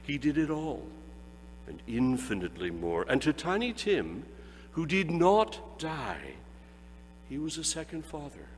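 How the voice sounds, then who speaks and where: A man's voice: on the high side, unhurried, long reverb tail, a little hiss or hum. Man reading a story with great expression. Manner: expressive, relishing phrases. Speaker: reader.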